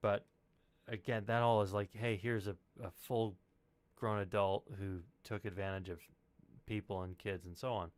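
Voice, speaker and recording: Lower-pitched man, measured and careful. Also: little pitch movement, no room sound. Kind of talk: casual discussion.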